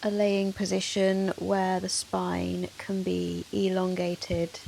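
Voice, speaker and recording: A woman yoga teacher leading a guided meditation in a soothing, measured, gentle manner with long pauses. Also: on the low side, completely flat delivery, creaky, low-quality recording. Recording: soft hiss of rain noise. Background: soft rain sound.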